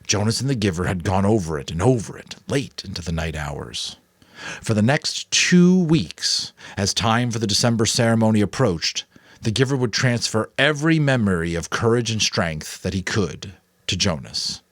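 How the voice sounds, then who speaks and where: A man's voice: fairly low pitch, moderate pace, no echo, clean studio sound. A man narrating a book expressively, breaking off for casual commentary. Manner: casual asides between expressive reading. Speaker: amateur audiobook narrator.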